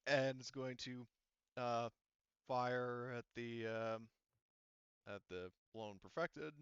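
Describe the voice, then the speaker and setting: A man narrating a tabletop roleplaying game as game master in a casual, halting way, thinking aloud as he goes. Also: medium pitch, steady tone, highs cut off, heavy background noise.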